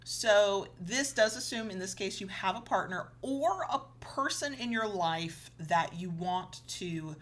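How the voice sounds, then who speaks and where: A woman's voice: medium pitch, lively intonation, unhurried, hardly any pauses. A woman co-hosting an educational show, giving conversational advice in an explanatory way with emphasis on key points. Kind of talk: conversational advice talk with a co-host.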